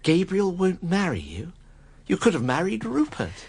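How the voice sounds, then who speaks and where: An expressive man whose pitch moves widely as he speaks. He is an earnest, wounded gentleman in love, in a radio play with faint background noise. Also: normal speed, vocal fry, exaggerated voice. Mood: anxious, disbelieving. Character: earnest, wounded gentleman pleading with the woman he loves. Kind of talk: radio drama acting.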